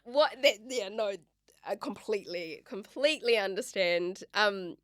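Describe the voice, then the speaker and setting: A woman's voice: mid-range voice, expressive, measured pace, a bit bright, dry recording, studio quality. A young woman hosting an interview, chatty and asking short follow-up questions. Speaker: podcast host. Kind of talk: casual interview.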